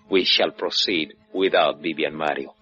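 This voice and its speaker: An actor playing a suave, menacing revolutionary gunrunner with a Spanish accent, courtly and faintly amused in a 1950s radio adventure. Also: male voice, rumbling low, fairly even intonation, a little slow. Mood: quietly menacing. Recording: dull 1950s radio recording, music behind.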